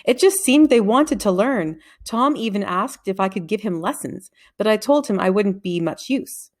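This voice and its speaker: A woman's voice: medium pitch, expressive, moderate pace, a little mellow, natural voice. Woman reading as hesitant male schoolteacher. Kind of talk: children's storybook dialogue.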